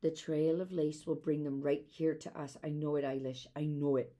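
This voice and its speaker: A woman's voice: low voice, flat delivery, normal speed, dark tone, muffled recording, natural voice. A woman plays a brave young girl looking after a younger sister in a children's storybook. The delivery is earnest and urgent, yet protective and reassuring. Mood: comforting. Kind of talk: children's storybook read aloud.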